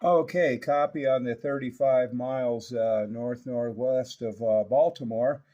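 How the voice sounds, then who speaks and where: Older American male, folksy CB operator, relaxed mellow tone, chatty jargon-heavy delivery, muffled lo-fi sound. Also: mid-range voice, unhurried. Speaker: CB radio operator and radio restorer. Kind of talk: casual radio check-in chat using CB jargon. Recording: dull, lo-fi audio.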